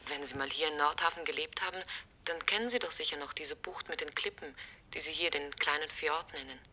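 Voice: medium pitch, a little fast, pushed and bright. An actor in a German radio drama playing a casual, faintly mocking housemate answering the telephone in a conversational way. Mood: gently mocking.

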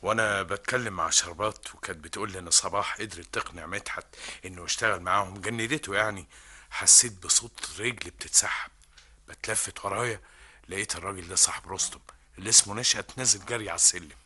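A dutiful undercover agent. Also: male voice, sits low, fast, pushed and bright, husky, exaggerated voice. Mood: reporting. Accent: Egyptian. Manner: deferential reporting. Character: young male undercover intelligence agent, dutiful.

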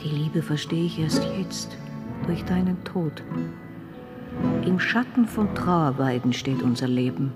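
A woman's voice: deep voice, unhurried, over loud music. An actress playing a solemn woman in mourning, speaking lyrically in a poetic radio drama. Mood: mournful, solemn.

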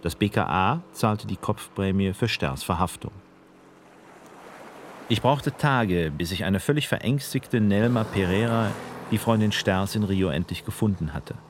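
A man narrating a radio documentary in a measured, matter-of-fact way. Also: on the low side, expressive, slight background noise.

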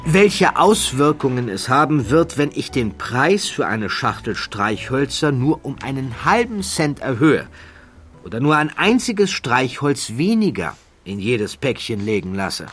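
Man playing emphatic, high-pitched 1920s financier. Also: big pitch swings, unhurried, fairly bright tone, soft background music. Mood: confident, calculating. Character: brilliant, persuasive 1920s financier pitching his scheme.

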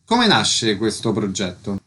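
A man's voice: fairly low pitch, wildly swooping pitch, a little slow, fairly bright tone, slight background noise. A man reading scripted announcements and questions as a radio host.

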